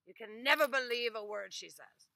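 Woman voicing a cool sly peasant man, unhurried, bright tone, dry close sound. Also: fairly high pitch, no echo, heavily acted. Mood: dismissive. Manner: calm, matter-of-fact. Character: calm, sly peasant husband.

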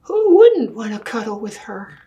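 An older woman reading a picture book aloud to children in a deliberate manner, putting questions to her listeners. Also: on the high side, big pitch swings, dark tone. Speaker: story time reader. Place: small indoor room with books and wall quilt.

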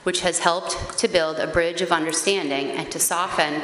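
Low-voiced woman reading at a podium. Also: moderate pace. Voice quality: loud, carrying voice. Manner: earnest, steady reading with occasional hesitant fillers. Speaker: parent group facilitator at a public meeting. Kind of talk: reading a prepared statement aloud. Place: town meeting chamber, indoors. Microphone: gooseneck podium microphone. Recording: echoey hall, faint background hiss.

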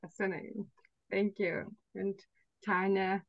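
A woman's voice: mid-range voice, level tone, slow pace, vocal fry, dry recording. A woman moderating a panel over a video call, giving short encouraging replies. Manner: brief, encouraging interjections. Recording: dull video call audio.

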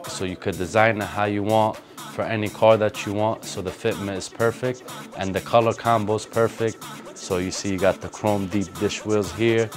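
A man in his thirties hosting a casual, hyped walkthrough tour of a factory, speaking in laid-back street slang. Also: on the low side. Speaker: vlog host touring a wheel factory. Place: large indoor factory floor. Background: background music.

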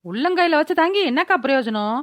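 A woman as a fast, sassy village gossip. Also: high voice, animated. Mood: gossipy indignation. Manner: teasing, sharp-tongued. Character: sassy, gossipy young female friend. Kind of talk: cartoon voice-over dialogue.

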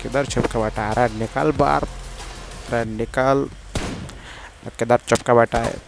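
A man with a sore, hoarse throat, giving excited trash-talking gameplay commentary. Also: medium pitch, a little slow. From India. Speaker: gaming channel host. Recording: commentary over loud game music and gunfire.

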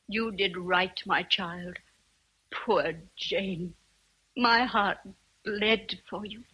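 A woman's voice: mid-range voice, sharp tone, breathy edge, exaggerated voice, rough recording. An actress with an English accent playing a kindly, motherly old housekeeper in a radio drama, tender and grave. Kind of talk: BBC radio drama acting.

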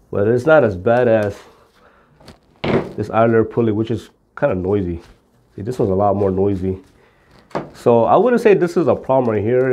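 A man's voice: medium pitch, dark tone. A middle-aged man explaining car repair to camera in a plain-spoken, step-by-step way. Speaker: auto repair instructor. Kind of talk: hands-on instructional explanation to camera.

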